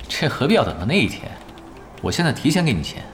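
A man in his twenties playing a laid-back veteran pro gamer in an audio drama, with a relaxed, dry, teasing way of talking. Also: medium pitch, moderate pace, slight background noise. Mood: wry. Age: 20s. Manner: easygoing, playfully deadpan.

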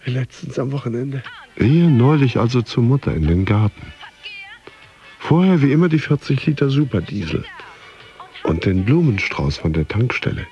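An actor playing a disgruntled, neurotic office worker who broods and mutters in a radio drama monologue. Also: male voice, mid-range voice, big pitch swings, normal speed, warm tone. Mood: bitter, stubborn. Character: disgruntled male office worker, neurotic, grumbling about body and cake. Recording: dull studio sound, faint music behind.

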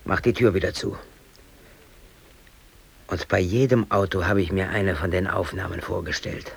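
A man's voice: low voice, moderate pace, gravelly, slightly rough, heavily acted. An actor playing a brooding, aggrieved bakery worker in his thirties, telling his story colloquially and intensely in a radio drama. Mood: tense recounting. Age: 30s. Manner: colloquial, emotionally intense, self-justifying. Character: brooding, aggrieved East German bakery worker under arrest. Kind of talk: radio drama monologue, confessional narration.

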